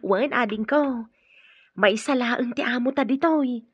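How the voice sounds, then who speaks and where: An actress playing a chatty, respectful housemaid in a radio drama, deferential and confiding, with a Filipino accent. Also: female voice, a little high, a little slow, slightly rough, no room sound, lo-fi sound. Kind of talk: Ilocano radio drama acting.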